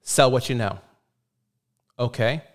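A man with a medium-pitched voice speaks bluntly and opinionatedly in a relaxed conversation. He swears casually and argues his points with conviction. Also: slow pace. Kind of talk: casual chat.

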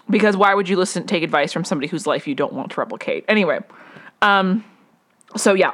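Young woman, low voice, rambling home storytelling, lots of fillers, self-correcting. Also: fairly bright tone. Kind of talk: casual personal storytelling.

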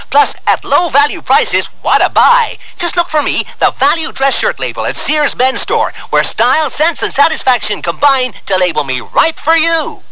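A man voicing a perky, proud talking dress shirt label in a 1970s radio commercial, boasting with excited sales patter. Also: high-pitched, dry recording, heavily acted, low-quality recording.

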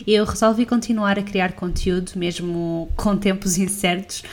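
A woman hosts a travel podcast in a friendly, chatty way, with a European Portuguese accent. She laughs easily and keeps an upbeat tone. Her voice sits at a middle pitch. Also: fairly even intonation, measured pace. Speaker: podcast host. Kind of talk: casual podcast interview.

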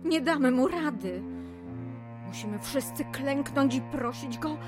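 A woman's voice: high-pitched, lively intonation, a little slow, over music. An actress playing a fearful, pious village mother in a radio drama, submissive and pleading as if in prayer.

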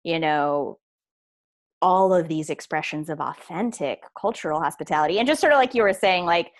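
A woman's voice: on the low side, normal speed. A woman in casual conversation, reflective and chatty, trailing off with fillers.

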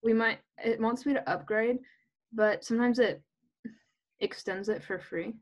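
A young woman co-hosting a podcast, chatting in a relaxed way over a video call. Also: fairly high pitch, a little slow. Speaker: podcast co-host and editor. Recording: dull lo-fi call audio.

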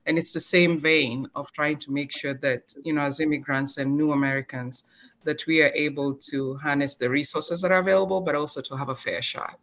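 A woman's voice: low voice, steady tone, brisk, fairly bright tone, dull audio. A woman speaking earnestly as a guest on a panel, with frequent restarts. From Kenya. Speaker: guest, agriculture department staff. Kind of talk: video call panel talk.

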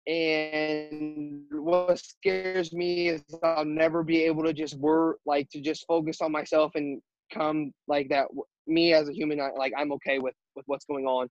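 A teenage high school quarterback with a high-pitched voice, answering interview questions earnestly with many fillers and restarts. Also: male voice, creaky, no echo. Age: teens. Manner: halting, filler-heavy, earnest. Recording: dull, muffled audio.

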